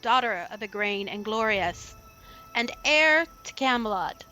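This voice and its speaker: Lady doing royal herald in a radio drama, really high n sharp, loud announcing, faint music. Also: expressive, a little slow, low-quality recording. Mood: proclaiming. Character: shrill, proclaiming herald of a noble lady. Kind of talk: radio play acting.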